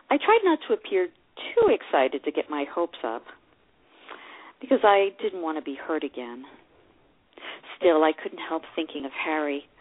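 A woman playing a hopeful, lovelorn divorced woman who recalls a romance in a confiding, expressive memoir reading. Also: deep voice, exaggerated voice. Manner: expressive, confiding storytelling with acted dialogue. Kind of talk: dramatized first-person memoir reading. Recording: muffled, narrow phone-like radio sound.

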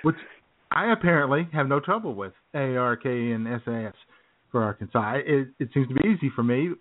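Mid-pitched man hosting on muffled radio. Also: lively intonation, brisk. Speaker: radio show host. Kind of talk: casual chatty live radio banter.

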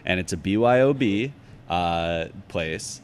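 American male interview guest, lowish pitch, conversational and reflective, halting delivery, audible background noise. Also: animated. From Chicago, United States. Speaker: author and podcast guest. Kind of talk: casual interview conversation, anecdotes and reflective advice.

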